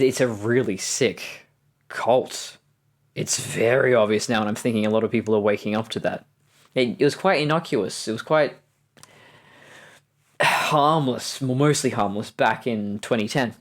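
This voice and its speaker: Woman, thirties, Australian accent, deep testosterone-lowered voice, frank, halting delivery. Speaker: interviewee. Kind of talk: candid recorded interview.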